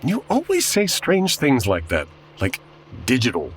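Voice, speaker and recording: A man's voice: medium pitch, wildly swooping pitch, unhurried, a little hiss or hum. A man playing an anxious, flustered 1940s man in an old-time radio comedy parody, hesitant and stammering. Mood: subdued, hesitant.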